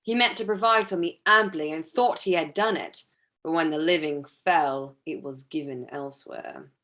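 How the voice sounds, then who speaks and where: A woman playing a charming, aggrieved young gentleman in a staged period drama reading, confiding and theatrical. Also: low voice, normal speed. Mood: aggrieved, wounded. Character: Mister Wickham, charming aggrieved young gentleman, smoothly self-pitying.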